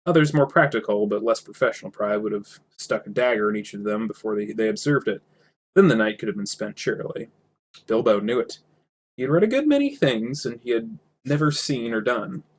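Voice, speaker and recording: A man's voice: on the low side, animated, a little fast. A man narrating a storybook aloud, sometimes tripping over words and repeating phrases. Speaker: reader, narrator.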